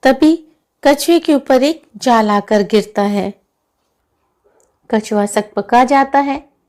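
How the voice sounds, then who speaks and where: A woman's voice: a little high, slow, a little mellow. A woman narrating a moral story for children as a storyteller.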